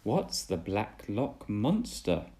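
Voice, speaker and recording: A man's voice: lowish voice, very animated, takes it slowly, dark tone, full character voice. A man voicing a kind, earnest female steam engine in a children's storybook, speaking politely and sincerely. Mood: curious.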